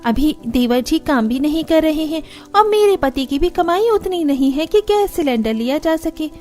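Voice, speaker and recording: A woman's voice: high-pitched, animated, moderate pace, a little mellow, faint music behind. A woman playing a practical young sister-in-law from a poor household in a Hindi cartoon, explaining things in a matter-of-fact way. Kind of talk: Hindi cartoon story dialogue.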